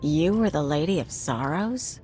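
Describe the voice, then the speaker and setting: A woman's voice: low-pitched, animated, measured pace, over loud music. A woman playing a brash, sardonic mercenary in a video game cutscene, slangy and casual about violence. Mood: wry.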